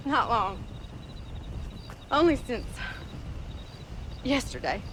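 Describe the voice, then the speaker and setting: A woman playing a sharp-tongued, stubborn young rancher's daughter in a western video game, biting and sarcastic. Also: a little high, sing-song, drawn-out words, a little hiss or hum, heavily acted. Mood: brittle, in denial. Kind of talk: video game cutscene acting.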